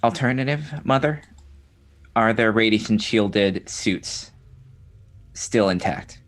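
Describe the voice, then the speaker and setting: Guy roleplaying a insistent company officer on a spaceship, firm and deliberate, voice a bit low. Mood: businesslike, questioning. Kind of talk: tabletop roleplay.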